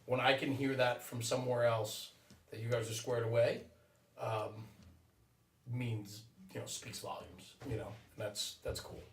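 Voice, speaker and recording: A man's voice: medium pitch, flat delivery, a little slow, slight background noise. A man hosting a casual podcast chat, affirming and repeating short phrases, recorded roomy and a little off the mic. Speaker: podcast host. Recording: roomy, distant mic, faint music.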